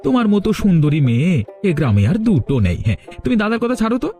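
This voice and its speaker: A sly, ingratiating young cartoon schemer, played by a man. His voice is mellow and swoops animatedly as he wheedles at a quick clip. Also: sits high, huge pitch swings, faint music behind. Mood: flattering. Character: sly, flattering young brother-in-law, eager schemer. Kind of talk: animated cartoon story dialogue.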